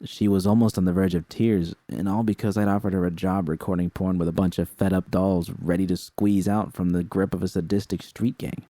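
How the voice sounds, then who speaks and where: A man reading a first-person fan story aloud in an even, measured way. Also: deep voice, dry recording. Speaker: fanfiction reader.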